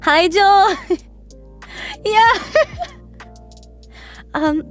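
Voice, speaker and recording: Giggly streamer woman with a worn voice. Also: soft background music. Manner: giggly, laughs often, deliberately lowered voice; chatty, rambling with fillers. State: voice worn from a bad cough. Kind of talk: casual livestream chat.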